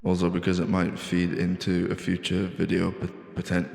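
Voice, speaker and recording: A man's voice: sits low, completely flat delivery, normal speed, creaky. A man hosting a comic scripted video essay in a theatrical, sweary detective style. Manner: theatrical, sweary, swings into exasperated yelling. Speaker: host of a video essay show. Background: music bed.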